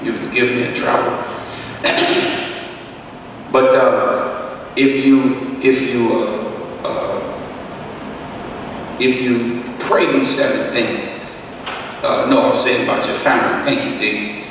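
A middle-aged man teaching a Bible study as pastor, talking conversationally with many fillers and a slightly rough voice, at a podium mic. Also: mid-range voice, fairly even intonation, slow pace, pauses often. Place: church hall, indoors. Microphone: podium microphone, far camera. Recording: echoey hall, distant mic, background hiss.

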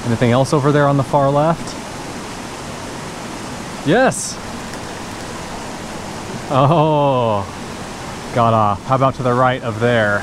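A woman narrating casually to viewers while fishing a mountain creek, chatty and breaking into excited exclamations, recorded on a point-of-view action camera outdoors. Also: rumbling low. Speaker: fishing vlogger. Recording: loud rushing creek noise. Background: rushing stream water.

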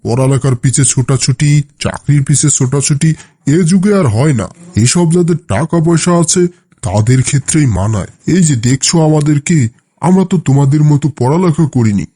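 A man's voice: medium pitch, expressive, moderate pace, mellow, husky. A man voicing a contented, unschooled village farmer in a cartoon, giving advice in a folksy, dismissive manner. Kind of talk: Bengali cartoon folk-tale voice acting.

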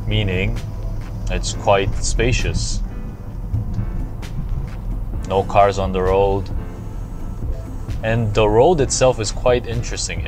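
Low, slow-talking man narrating a travel vlog. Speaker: vlog host narrating a road trip. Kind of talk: scripted travel voice-over, casual. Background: music.

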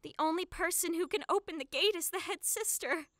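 A woman voicing an excitable, anxious teenage schoolgirl in an anime fan dub. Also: very high voice, fairly quick.

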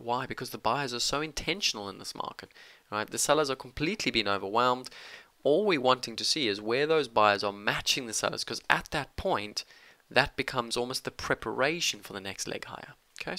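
Crisp, bright-voiced man teaching trading. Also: mid-range voice, no room sound. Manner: emphatic, punctuates points with "okay". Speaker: trading instructor. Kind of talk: screen-share trading lesson.

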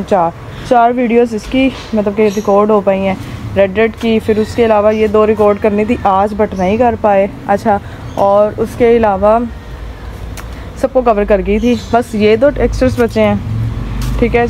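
A hoarse woman rambles through a casual vlog, her voice mellow and soft-edged. She films outdoors on a rooftop with a phone camera. Faint traffic and outdoor noise sit behind her. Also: medium pitch, poor audio. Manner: chatty, rambling, with many fillers. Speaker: vlogger.

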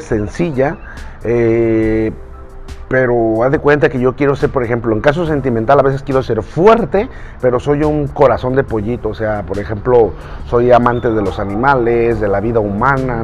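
A Mexican man in his thirties talks chattily to camera as a vlogger, stopping now and then to check his notes. His voice sits at an ordinary middle pitch. It is recorded outdoors in a park on the camera mic, with faint music running behind. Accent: Mexican Spanish. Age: 30s. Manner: chatty and earnest, gesturing with his hands, pausing to check notes. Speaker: YouTuber and voice impressionist. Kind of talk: casual talk to camera. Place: outdoors in a recreational park, standing against a pale wall.

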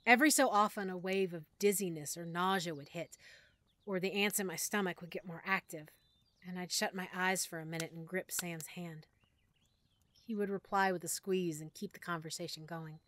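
A woman narrating a first-person audiobook story in a measured, emotional way that sometimes drops to a hush. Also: lowish voice, fairly even intonation. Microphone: close studio mic.

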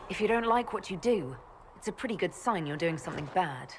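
A woman's voice: lowish voice, expressive, fairly quick. A woman playing a principled, outspoken young elf mage in a fantasy game, with a direct manner. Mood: disapproving. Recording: noisy ambient background.

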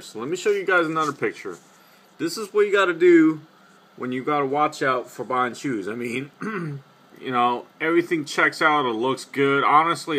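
A man's voice: medium pitch, bright, forceful tone. A young man vlogging casually to a webcam in a small room at night, rambling with many fillers and restarts. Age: young adult. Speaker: sneaker vlogger. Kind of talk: casual vlog talk to camera. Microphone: webcam at close range.

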